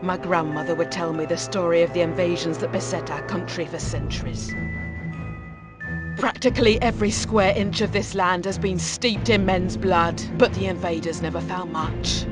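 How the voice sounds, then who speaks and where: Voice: medium pitch, natural voice. A voice actor as a fearful villager telling cursed legends. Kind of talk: dubbed game cutscene acting. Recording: game audio under loud music.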